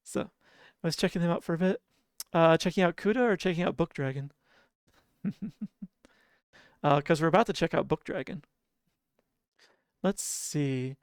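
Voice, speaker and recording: A game streamer with a sleepy, drowsy-sounding voice talks softly and casually to the chat. The tone is smooth and clean, and the desk mic sounds close and dry with no room behind it. Idle humming fills the pauses. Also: mid-range voice, no room sound. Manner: soft, relaxed, chuckles often and hums idle filler tunes.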